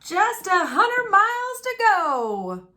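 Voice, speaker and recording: Doting pioneer daddy for a storybook , read by a woman: high, bright, folksy and earnest. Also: big pitch swings, slow, heavily acted. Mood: encouraging. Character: earnest pioneer father, grateful and doting on his fruit trees.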